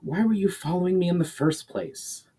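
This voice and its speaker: A man voicing a proud, sharp-tongued noblewoman in a storybook reading, indignant and demanding. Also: sits high, lively intonation, moderate pace, breathy edge, voice pushed up. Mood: puzzled, demanding.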